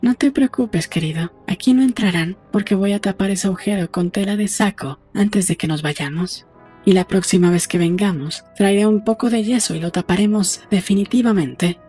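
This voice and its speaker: A woman playing a brisk, practical young woman in an audiobook drama, talking in a matter-of-fact, conversational way. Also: mid-range voice, mellow, slightly rough, soft background music, lightly acted. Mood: reassuring. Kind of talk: audiobook dialogue acting.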